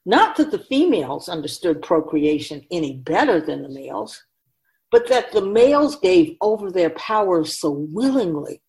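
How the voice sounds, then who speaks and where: A woman voicing a wise, ancient spirit who keeps souls, teaching patiently and deliberately in a scripted radio drama. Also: lowish voice, very animated, darkish tone, poor audio. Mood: patient, explaining. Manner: measured, deliberate, didactic, solemn.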